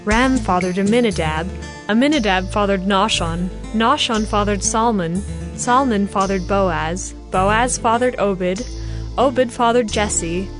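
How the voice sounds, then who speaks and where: A woman's voice: medium pitch, a little slow, music in the background. A woman narrator reading scripted scripture in a formal storytelling manner.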